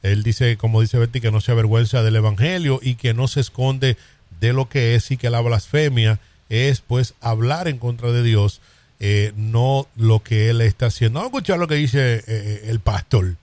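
A man hosting a radio talk show, conversational and quick. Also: medium pitch, a little mellow, no echo. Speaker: radio host.

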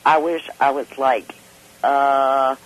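A woman's voice: a bass voice, flat delivery, slow pace, poor audio. An older woman calling in to a radio chat show, laughing often as she tells her stories. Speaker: caller.

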